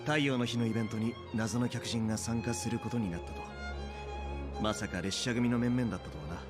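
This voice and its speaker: A courteous young officer in an anime game voiced by a man, formal and composed. He speaks very quickly at a middle pitch, with polite phrasing. Music plays clearly behind him. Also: lightly acted. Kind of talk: Japanese anime game voice acting.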